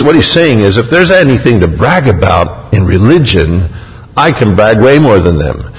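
Low-voiced pastor preaching in a reverberant hall. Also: male voice. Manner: emphatic, paraphrasing scripture in a vivid first person. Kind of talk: sermon.

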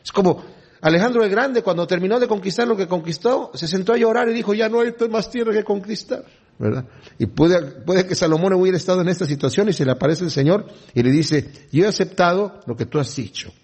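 A man's voice: high-pitched, expressive, brisk, a little mellow, husky. A man preaching a Bible lesson who reads scripture aloud and then explains it in conversational asides. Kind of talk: Bible teaching sermon.

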